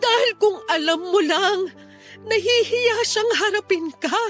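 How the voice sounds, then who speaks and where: A woman's voice: extremely high pitch, a little slow, a bit bright. A Filipino woman playing a desperate wife and mother pleading earnestly with her sister-in-law in a radio drama. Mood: tearful begging. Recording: music under the dialogue.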